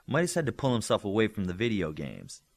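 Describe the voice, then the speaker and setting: A man reading a story aloud as scripted, expressive narration. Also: on the low side, fast talker, gravelly. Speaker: audiobook reader.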